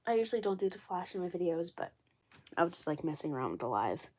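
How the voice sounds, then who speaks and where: A woman in her twenties chatting casually on a livestream, hoarse and froggy from a cold, rambling and repeating herself. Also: on the low side, big pitch swings, fast, a little mellow. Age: 20s. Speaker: doll collector livestreaming.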